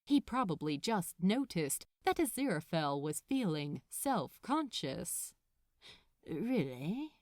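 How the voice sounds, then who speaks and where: Slow, expressive woman narrator. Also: lowish voice, dry recording, clean studio sound. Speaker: podfic narrator. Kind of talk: expressive scripted fiction narration.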